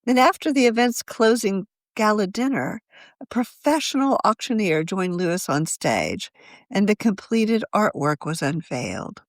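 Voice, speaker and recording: A woman narrates a scripted magazine article in a measured, journalistic way. Her medium-pitched voice moves in lively rises and falls. It is recorded close and dry, with no room sound. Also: no room sound. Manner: measured, journalistic read-aloud delivery. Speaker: magazine editor reading her own article.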